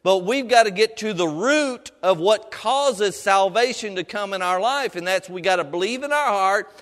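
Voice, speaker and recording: A man's voice: sits high, animated, normal speed, fairly bright tone. A middle-aged man preaching a sermon in a folksy, exhorting manner, punctuating his points with questions and amens to the congregation. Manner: folksy, colloquial phrasing. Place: preaching to a congregation in a large room. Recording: reverberant hall with long echo.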